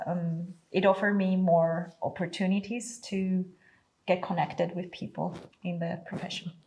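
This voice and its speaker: Lower-voiced woman. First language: Greek. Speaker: guest, orchestral musician. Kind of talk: answering interview questions.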